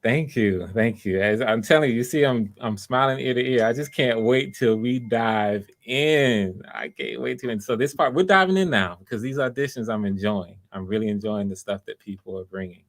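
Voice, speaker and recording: A man's voice: medium pitch, moderate pace, hardly any pauses. A man hosting online auditions in a casual, conversational way, with an enthusiastic and encouraging manner. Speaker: host of online voice auditions, casting for a project.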